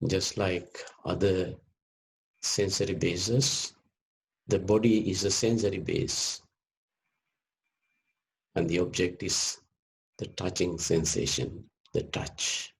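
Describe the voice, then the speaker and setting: A man leading a guided meditation as a teacher, speaking gently in short measured phrases with long pauses. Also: low voice, fairly even intonation, creaky.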